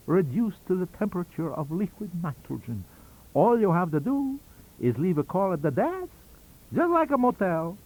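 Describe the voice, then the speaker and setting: A man voicing a pompous, excitable male alchemist professor centuries old, lecturing grandly and insultingly in an audiobook. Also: high-pitched, voice pushed up, low-quality recording. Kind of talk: literary audiobook character reading.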